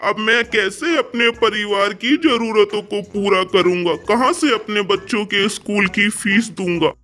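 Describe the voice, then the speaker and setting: A man's voice: sits high, a little slow, bright, forceful tone. A man playing a humble, worried pigeon father and farmer in a cartoon, earnest and pleading. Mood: distressed. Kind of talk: cartoon character acting.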